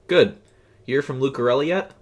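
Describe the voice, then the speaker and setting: A man playing a considerate young male fast food worker with a casual, hesitant way of talking, in an audio story. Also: medium pitch, pitched above the performer's own voice, natural voice. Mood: mildly worried.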